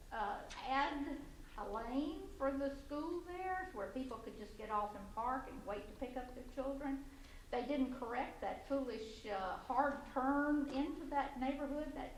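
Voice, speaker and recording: A woman with a loud voice giving public comment at a city council meeting, halting and full of fillers and restarts. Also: a little high. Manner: halting, many fillers and restarts, light laughs. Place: community center meeting room. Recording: echoey, distant, faint room noise.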